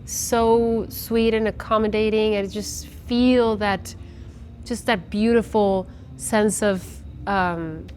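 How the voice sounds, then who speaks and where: A woman's voice: medium pitch, steady tone, slow pace, a little mellow. Woman from guatemala, forties, musician being interviewed, chatty, restarts a lot, faint background noise, outdoors. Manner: chatty with many restarts and fillers. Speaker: musician guest. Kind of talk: casual interview answers. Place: outdoors at a festival.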